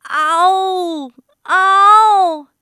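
Woman putting on a boastful lion, bright and animated. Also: a little high, unhurried, no room sound, exaggerated voice. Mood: roaring. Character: boastful, bossy male lion claiming a crown.

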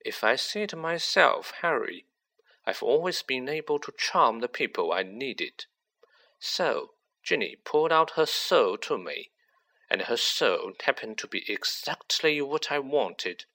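A man voicing a cold, manipulative teenage boy sorcerer who talks softly and gloats. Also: medium pitch, big pitch swings, a little slow, gravelly, exaggerated voice. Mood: gloating, silky. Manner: silky, soft and gloating.

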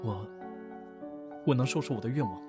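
A man's voice: mid-range voice, fairly quick, lightly acted. A man playing an earnest, protective young elder brother in a Chinese audio drama, given to pleading and stammering. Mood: hesitant, downcast. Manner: pleading, stammering when flustered. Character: earnest, desperate protective elder brother hiding his true nature. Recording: loud music under the voice.

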